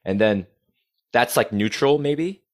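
An energetic man, a podcast guest talking casually and swearing freely. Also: mid-range voice, takes it slowly. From United States. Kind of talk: casual conversation.

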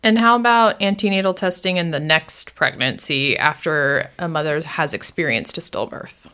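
A woman's voice: low-pitched, normal speed, pushed and bright, clean tone. A woman hosting a podcast, speaking in a conversational interviewing style.

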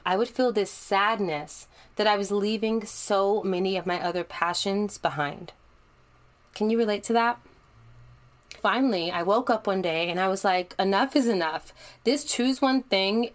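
Upbeat woman hosting a solo podcast. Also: medium pitch. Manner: upbeat, conversational, encouraging. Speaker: podcast host.

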